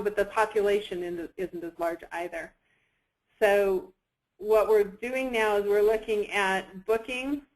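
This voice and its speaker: A woman presents a sales pitch on a conference call in a conversational way. Her pitch is middling and stays within a narrow, even range.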